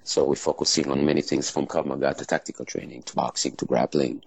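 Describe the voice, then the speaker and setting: Very deep gravelly man with Israeli accent. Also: flat delivery, no room sound. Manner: halting, many pauses and fillers. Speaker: martial arts school owner. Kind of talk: casual interview answers.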